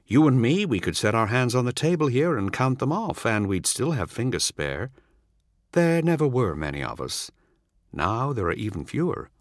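A man's voice: medium pitch, very animated, normal speed, no echo, studio quality, voice pushed up, close to an everyday voice. A man voicing a charming, smug former comrade who keeps pressing and needling. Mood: insistent. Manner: needling, insistent. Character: smug, charming male old soldier comrade.

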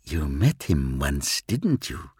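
A man voicing a cryptic male alien seer in an audiobook, speaking low, hushed and measured with calm superiority. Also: husky, no room sound, studio quality, full character voice. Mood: cool, probing. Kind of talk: audiobook reading.

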